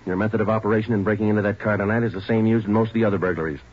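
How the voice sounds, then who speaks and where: Terse detective sergeant, deep and brisk, clipped delivery, old-time radio crime drama with dull sound. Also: male voice, breathy edge. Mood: stern. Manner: clipped, deadpan. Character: terse, matter-of-fact male police detective sergeant. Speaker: narrator and lead actor.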